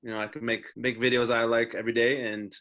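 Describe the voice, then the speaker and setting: A man chats as a podcast guest, relaxed and upbeat, turning earnest when he reflects. His voice is bright and forward, and he comes through on a video call. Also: medium pitch, fast talker. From Canada. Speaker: guest comedian. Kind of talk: casual podcast chat.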